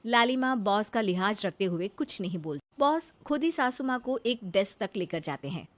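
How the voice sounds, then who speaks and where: A woman's voice: mid-range voice, expressive, moderate pace. A woman narrating a scripted comic cartoon story as its storyteller.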